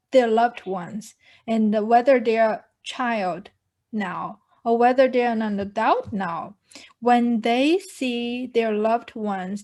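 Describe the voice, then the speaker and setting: A woman from China hosts an interview on a video call, her voice pitched a touch high. She talks earnestly, with fillers and restarts as she shapes her questions. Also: a little mellow. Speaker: host. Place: home room with pictures on wall. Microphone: video call, earphone mic.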